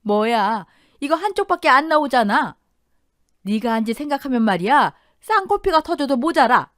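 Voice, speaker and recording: A fierce, betrayed older wife, acted out by a woman narrator in an audio drama, scolding with biting indignation. Her high voice swings sharply up and down, recorded close and dry. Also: sing-song, measured pace, dry recording. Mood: scornful.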